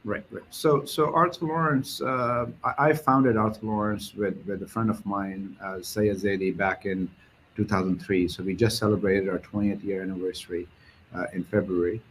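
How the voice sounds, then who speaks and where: A man with a Pakistani accent answering interview questions as a business executive, in a halting way with frequent repeated words. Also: lowish voice, fairly even intonation, slight background noise, poor audio. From Karachi, Pakistan.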